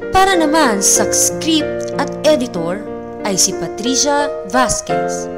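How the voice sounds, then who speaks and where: Slow, lively woman radio narrator over music. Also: sits high. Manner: deliberate, presentational. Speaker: radio drama narrator and host. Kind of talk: scripted radio closing narration with credits.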